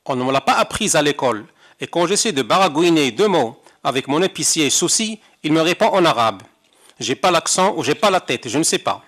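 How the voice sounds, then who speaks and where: An older man from Morocco delivers a prepared seminar talk. His voice sits a little high, and his delivery is measured and rhetorical, with ironic asides. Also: big pitch swings, fairly bright tone. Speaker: conference interpreter giving a talk. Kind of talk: reading a prepared speech. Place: seminar hall.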